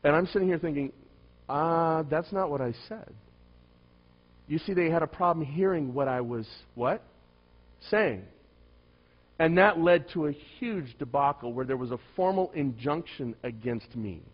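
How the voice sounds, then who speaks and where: Man preaching, soft voice, slightly high, middle-aged, dull lo-fi recording. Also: a little slow. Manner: storytelling, emphatic swings from loud to hushed. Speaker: pastor. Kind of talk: sermon.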